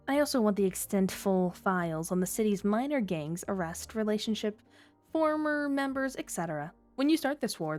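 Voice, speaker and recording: Scheming female underworld informant for ASMR drama, played by a woman: mid-pitched, coy, teasing, mock-sweet, music underneath. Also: a little mellow, lightly acted. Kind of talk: flirty ASMR roleplay audio drama.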